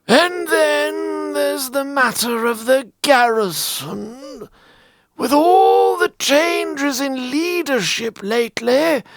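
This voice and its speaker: A woman playing a doddering elderly bishop in a fantasy audio drama, fussy and courteous, with a quavering voice and halting, groaning delivery. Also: high voice, no echo, exaggerated voice.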